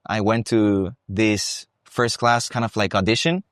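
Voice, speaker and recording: A young man from Costa Rica with a Costa Rican accent, talking about his dance career in a relaxed, thoughtful interview. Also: lowish voice, a little slow, dry recording, studio quality. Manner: relaxed, thoughtful, with frequent restarts. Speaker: guest, salsa dancer and teacher. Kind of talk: casual interview. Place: salsa congress venue.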